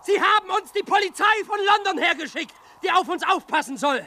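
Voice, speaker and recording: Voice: very high-pitched, animated, a little fast, bright, forceful tone, a little hiss or hum, exaggerated voice. An actor playing a masked rebel leader in disguise in a radio play, declaiming to gathered followers. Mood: rallying.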